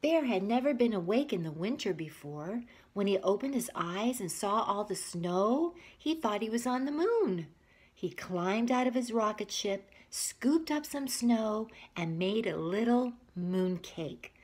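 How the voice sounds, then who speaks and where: A woman's voice: medium pitch, husky. A woman teacher reading a children's picture book aloud expressively, stepping out of the story to talk to young listeners. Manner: expressive, pausing to ask listeners questions.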